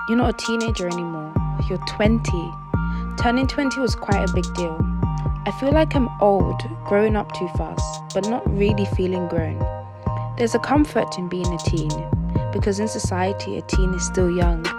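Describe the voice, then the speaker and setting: A woman's voice: medium pitch, moderate pace. A woman, talking reflectively as a personal vlogger. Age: early 20s. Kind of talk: personal reflective vlog talk. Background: prominent background music.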